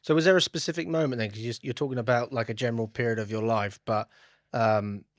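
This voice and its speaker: A man's voice: medium pitch, fairly even intonation, fast, no echo, studio quality. A man hosting a casual interview, chatty and prone to restarting his questions. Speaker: interviewer host.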